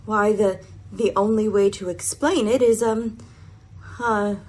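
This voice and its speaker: A woman playing a bossy, authoritative dodo in a radio play, with loud, halting pronouncements and a husky voice. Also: medium pitch, fairly even intonation. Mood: pompous.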